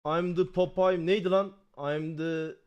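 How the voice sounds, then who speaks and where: A man's voice: high voice, steady tone, a little slow, clear, resonant tone, dull audio. A man with a Turkish accent giving casual, blunt reaction commentary full of slang, incredulous and exasperated. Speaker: reaction streamer.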